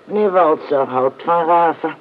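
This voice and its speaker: An actress playing a guarded, loyal housekeeper in a radio play, speaking in a wary, halting way. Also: female voice, sits low, a little hiss or hum. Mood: hesitant. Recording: tinny, phone-like old radio recording.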